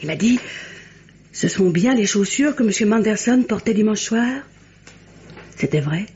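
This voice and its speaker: An actress playing a chatty, saucy, coquettish young French chambermaid in a vintage radio mystery. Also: female voice, medium pitch, expressive, normal speed, darkish tone, slight background noise, lightly acted. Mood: admiring, gossipy. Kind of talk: mid-20th-century French radio mystery acting. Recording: muffled vintage radio recording.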